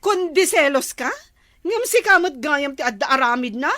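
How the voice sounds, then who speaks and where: Woman acting high, scolding jealous Ilocano wife. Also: expressive, normal speed, sharp tone, dry recording, clean studio sound. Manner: scolding, confrontational, exclaiming. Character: jealous, suspicious wife, scolding and hot-headed. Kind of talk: Ilocano comedy drama acting.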